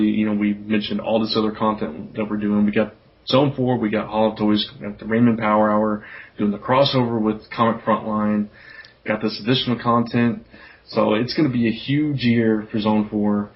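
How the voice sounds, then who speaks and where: Man, low voice, podcast host, informal and rambling, dull lo-fi sound. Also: little pitch movement, moderate pace. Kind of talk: casual podcast chat.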